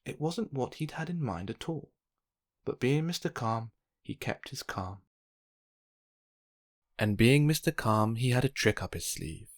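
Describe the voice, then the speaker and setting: A man narrating a children's bedtime story in an expressive, measured read-aloud style. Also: mid-range voice.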